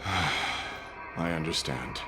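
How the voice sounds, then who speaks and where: A man voicing a gruff, defiant former convict in a video game, with a curt, brusque manner. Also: extremely low pitch, extremely slow, over music, exaggerated voice. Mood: reluctant.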